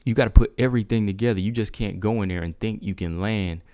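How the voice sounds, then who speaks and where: A man's voice: lowish voice, fast, mellow, no room sound, clean studio sound. A man hosting a casual MMA livestream, asking his viewers rhetorical questions. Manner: talks straight to viewers, asks rhetorical questions. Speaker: MMA talk show host.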